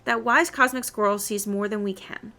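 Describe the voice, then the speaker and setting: A woman reading the part of a solemn hooded druid sage with formal, measured wording. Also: medium pitch, fairly even intonation, sharp tone. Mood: reverent.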